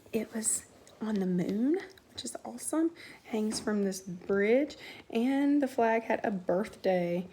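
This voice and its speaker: A woman teaching young pupils through a read-aloud lesson, posing questions and answering them in an instructional way, with a creaky voice. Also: fairly high pitch, a little mellow, a little hiss or hum. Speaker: elementary school teacher.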